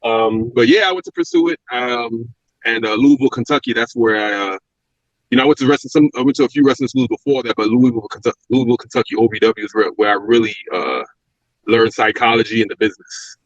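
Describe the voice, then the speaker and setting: Fast-talking Brooklyn man, dry lo-fi sound. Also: medium pitch, no echo. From Brooklyn, New York. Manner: reflective, rambling with restarts and fillers. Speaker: guest, former pro wrestler. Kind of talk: casual interview talk.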